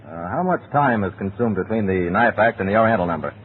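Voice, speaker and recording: Man playing a low-voiced, curt police captain. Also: animated, fast talker, natural voice. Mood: brisk, probing. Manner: curt, businesslike interrogator. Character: brisk male police captain questioning murder witnesses. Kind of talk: old-time radio drama acting. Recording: tinny, noisy old radio recording.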